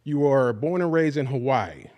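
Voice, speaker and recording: A man's voice: mid-range voice, very animated, moderate pace, highs cut off. Laid-back male podcast host. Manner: laid-back, affirming with short "gotcha" and "mm-hmm". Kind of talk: casual interview.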